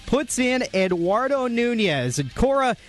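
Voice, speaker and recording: A man with an American accent and a high-pitched voice narrates a sports recap. He moves through the game's highlights as a radio host. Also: sing-song, measured pace.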